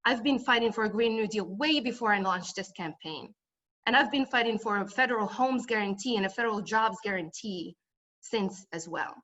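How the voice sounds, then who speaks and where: A woman's voice: medium pitch, moderate pace, fairly bright tone, highs cut off. A woman from Morocco speaking as a congressional candidate at a political forum, with emphatic, repetitive campaign phrasing.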